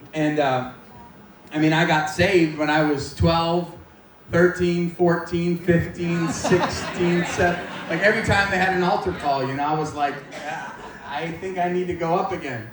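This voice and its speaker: An echoey, slightly distant recording of an American man in his forties preaching his own story. His voice sits a little high for a man. He talks informally and pokes fun at himself as he goes, with faint noise underneath. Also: steady tone, a little slow. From Maine, United States. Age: 40s. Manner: informal, self-deprecating, joking. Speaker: guest speaker giving testimony at church. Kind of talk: personal testimony preached to a live audience. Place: large echoey indoor room, speaking to a gathering.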